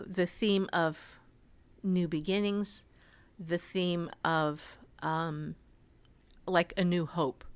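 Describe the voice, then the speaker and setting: Podcast co-host: woman with a lowish voice, explanatory and deliberate in casual conversation. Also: clean tone. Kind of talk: casual discussion of fiction writing.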